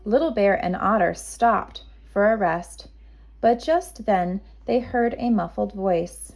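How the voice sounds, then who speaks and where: Female kindergarten storyteller, expressive, slow and measured, medium pitch. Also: gravelly. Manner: measured, simple delivery for young children. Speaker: kindergarten teacher reading aloud. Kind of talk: expressive children's storybook narration.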